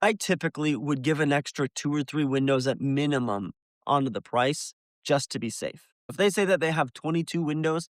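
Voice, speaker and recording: A man's voice: fairly high pitch, a little fast, no room sound, studio quality. A man presenting an instructional video, explaining things step by step and addressing the listener directly. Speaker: window cleaner presenting a business tutorial. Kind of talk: instructional video narration to viewers.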